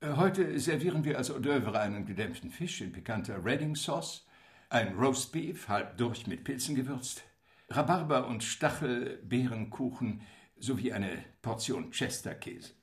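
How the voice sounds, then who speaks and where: A male actor plays an attentive English club butler in a radio play. He speaks at middle pitch in a formal, measured, servile way. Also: lively intonation, lightly acted. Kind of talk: radio drama acting.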